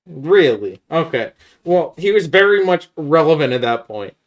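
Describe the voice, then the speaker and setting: A man's voice: fairly high pitch, big pitch swings, moderate pace. A man co-hosting a podcast, talking casually and giving blunt, critical opinions. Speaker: podcast co-host. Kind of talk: casual opinionated chat about films.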